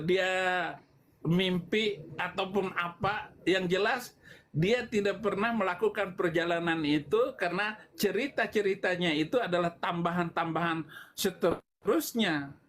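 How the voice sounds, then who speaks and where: Slow, high-pitched man preaching. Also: fairly bright tone, slight background noise. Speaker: preacher answering questions on a livestream. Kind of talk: extemporaneous religious polemic talk.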